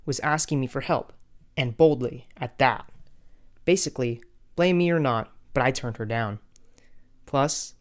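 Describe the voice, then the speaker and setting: A man's voice: mid-range voice, natural voice. A man voicing a betrayed young man who tells his family drama wryly, in first-person cartoon story narration. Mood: resigned, scornful.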